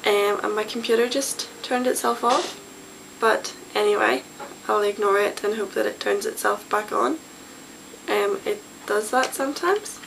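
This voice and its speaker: Chatty Northern Irish teen girl, gravelly. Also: mid-range voice, a little slow, a bit bright. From Northern Ireland. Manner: chatty, self-deprecating, many ums. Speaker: vlogger. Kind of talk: casual vlog chat. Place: small bedroom at home. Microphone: built-in webcam mic. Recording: noisy home webcam recording.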